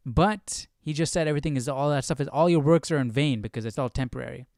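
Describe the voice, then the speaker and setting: Man thinking out loud, dry close sound. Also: mid-range voice, fast talker, no room sound. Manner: informal, thinks out loud, questioning. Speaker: host of a live Bible study stream. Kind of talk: casual live commentary with reading aloud.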